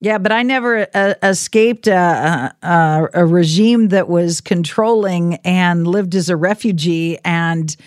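A woman hosting a call-in radio show, chatting gently and playfully with a caller. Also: lowish voice, takes it slowly, hardly any pauses, dry recording, studio quality. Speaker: radio host. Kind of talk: late-night radio call-in chat. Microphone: studio mic.

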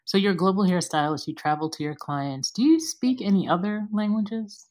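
A woman's voice: mid-range voice, moderate pace, darkish tone. A woman hosting a casual interview, encouraging and asking follow-up questions. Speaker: interview host.

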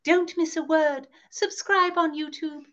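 A woman playing a gossipy, easily indignant Tudor gentlewoman, declaiming in archaic phrases for a scripted educational show. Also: high-pitched, steady tone, unhurried, dull audio. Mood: grandly cheerful. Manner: archaic, declamatory, emphatic storytelling.

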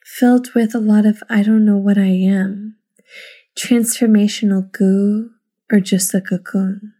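A woman with a soft-edged, dark voice of medium pitch speaks very slowly. She is reflective and halting, as in intimate personal storytelling or poetry reading. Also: steady tone. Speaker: listener contributor.

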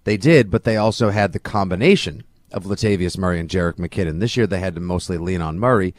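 Man, slightly low voice, dry studio-tight sound, opinionated sports podcast chat, emphatic colorful phrasing. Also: fast, dry recording. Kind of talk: casual, opinionated sports talk.

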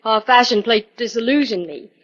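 An actress playing a curious, quick-witted young woman who assists a sleuth, in 1940s radio style. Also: fairly high pitch, natural voice. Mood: curious, puzzled. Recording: dull 1940s radio recording.

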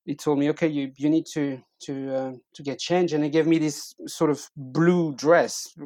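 A man's voice: fairly high pitch, steady tone, moderate pace, darkish tone, no echo. French-accented middle-aged man, dry close sound. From eastern France. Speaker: interview guest. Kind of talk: personal storytelling in casual conversation.

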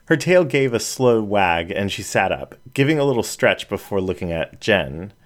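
A man narrating a story in an even, measured reading. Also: fairly low pitch.

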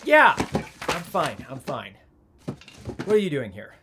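A man playing a frazzled, sarcastic young heir in an audio drama, with a wry, flustered, halting delivery. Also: fairly high pitch, wildly swooping pitch, background noise, full character voice. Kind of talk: audio drama acting, naturalistic.

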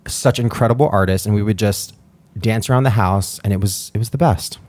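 A young adult man from Hawaii talking casually about his dance career as a podcast guest. His voice sits a little low, and he speaks in an animated way, peppering his sentences with fillers. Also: a little fast. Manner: chatty, animated, lots of "like" fillers. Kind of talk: casual interview chat.

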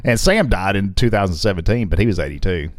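Lively, jokey man co-hosting a podcast. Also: sits low, dry recording, clean studio sound. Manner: jokey, quick asides and laughs. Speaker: podcast co-host. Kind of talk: casual chat, reading headlines with jokes.

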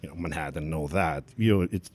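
A man in his forties from Greece with a Greek accent, a photographer talking in a casual interview, halting and often trailing off. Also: rumbling low, no echo. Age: 40s. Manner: halting, restarts sentences, trails off quietly. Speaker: guest photographer.

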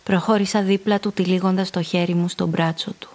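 An actress playing a calculating seductress who narrates her own story in a radio drama, measured and intimate. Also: on the low side, fairly even intonation, a little mellow, natural voice. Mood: watchful, calculating.

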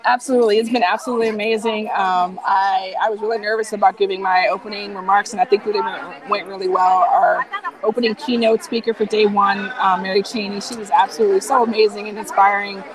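A woman guest chatting casually in a live interview, enthusiastic and full of fillers, her voice getting hoarse from too much talking. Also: medium pitch, fast talker, crowd noise, rough recording. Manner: relaxed, filler-heavy, enthusiastic. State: losing her voice. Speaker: founder of a nonprofit hacking group, guest.

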